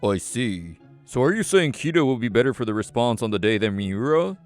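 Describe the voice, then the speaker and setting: A man's voice: medium pitch, very animated, fairly quick, clean tone, faint music behind, natural voice. A man playing a polite, authoritative center director in a manga story, formal and firm.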